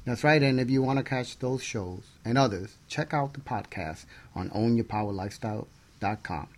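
Man co-hosting talk radio, medium pitch. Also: moderate pace. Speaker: radio show co-host.

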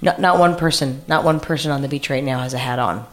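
Blunt bad-cop doctor, indignant, deep female voice, dry scolding delivery, improvised comedy, soft music behind. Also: fast talker. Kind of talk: improvised comedy radio theater.

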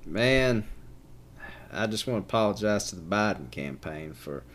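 A man co-hosting a podcast, joking in a wry, deadpan way. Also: on the low side, normal speed. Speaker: podcast co-host. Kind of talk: casual chat.

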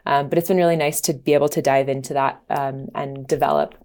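A woman, a sustainability consultant, explaining her career thoughtfully with frequent pauses. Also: extremely low pitch, steady tone, darkish tone. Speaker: sustainability consultant, studio co-lead. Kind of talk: casual interview answers.

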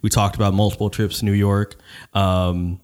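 A man's voice: fairly low pitch, normal speed, smooth. A man hosting a casual podcast, talking loosely and profanely with lots of restarts. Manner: loose, profane, animated talk with many restarts. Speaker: podcast host.

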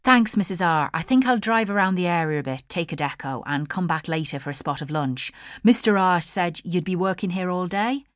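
A woman voicing a big, warm-hearted middle-aged male chauffeur, cheery, colloquial and respectful, in an audiobook. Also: on the low side, expressive, a little fast, no echo, clean studio sound, natural voice. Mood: cheerful, obliging.

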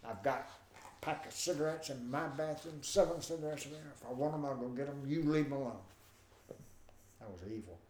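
An older man with a nasal voice, telling stories in an animated way as a guest in a conversation. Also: medium pitch, fairly quick, a little hiss or hum. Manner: animated, acts out quoted voices. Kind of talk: storytelling in a conversation. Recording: roomy with faint ambience.

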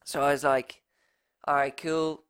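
A man's voice: fairly high pitch, flat delivery, measured pace, fairly bright tone, clear, resonant tone, no room sound. A young Irish man in his twenties with a nasal voice, telling a rambling story in a casual podcast chat. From Ireland. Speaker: podcast co-host.

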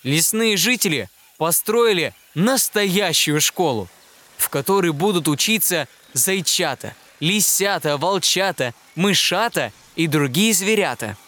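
Slow, expressive male storyteller. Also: a little high. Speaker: reader of children's fairy tales. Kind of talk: scripted storybook narration.

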